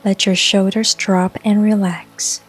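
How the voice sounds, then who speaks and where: Woman, guided meditation narrator, very slow pace, soft husky breathy voice, soothing, music and rain behind. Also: medium pitch. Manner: calm, soothing, unhurried delivery with long pauses. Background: soft music and rain sounds.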